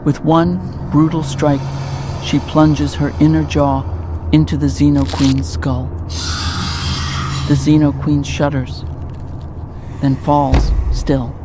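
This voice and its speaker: A man's voice: a little high, level tone, takes it slowly, pauses often, noisy background. A man giving dramatic scripted narration for a horror audio drama.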